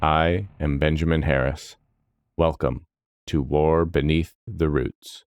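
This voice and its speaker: A man narrating a scripted dark fantasy story in a measured, solemn and dramatic manner. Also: extremely low pitch, fairly even intonation, dry recording, studio quality. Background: background music.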